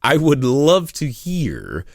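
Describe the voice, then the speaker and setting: A man's voice: on the high side, wildly swooping pitch, slow pace, creaky, dry recording, clean studio sound. A man from the American South hosting a podcast interview, casual and curious, laughing easily. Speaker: podcast host.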